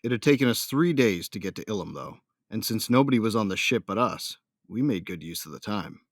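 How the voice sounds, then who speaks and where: Low-voiced man acting a devoted young space captain. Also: lively intonation, a little fast, dry recording, clean studio sound. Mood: earnest, tender. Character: young newlywed starship captain, loyal son of smugglers, devoted husband. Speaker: first-person narrator and character. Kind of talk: dramatic first-person audio drama narration.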